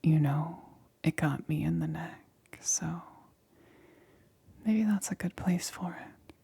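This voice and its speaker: A woman's voice: low-pitched, dark tone, dry recording, full character voice. Woman acting a whispering, seductive infected lab assistant. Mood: seductive, menacing. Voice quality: breathy, whispery. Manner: slow, intimate, coaxing. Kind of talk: ASMR roleplay.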